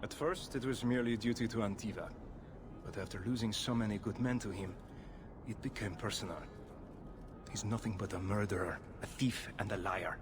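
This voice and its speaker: A man's voice: fairly low pitch, moderate pace, close to an everyday voice. A man playing a stern, earnest hunter of assassins in a video game, speaking in a grave and formal way. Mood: grave, insistent. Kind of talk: video game character acting. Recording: clear background ambient noise.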